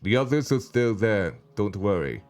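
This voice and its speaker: A man voicing a calm, centered werewolf man with a German accent, speaking in a measured, serious way for a game story. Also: fairly low pitch, soft background music, pitched below the performer's own voice.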